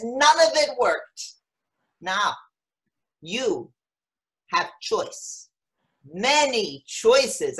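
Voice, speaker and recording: A woman acting a frank, wistful elderly mother of seven in a play reading, speaking with halting, matter-of-fact candour. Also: mid-range voice, fairly bright tone, low-quality recording. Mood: frank, probing.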